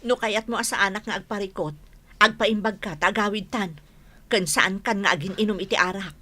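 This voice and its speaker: A woman's voice: mid-range voice, fairly quick, bright, forceful tone. A woman in a radio comedy drama playing a worried, exasperated wife who scolds her drinking husband.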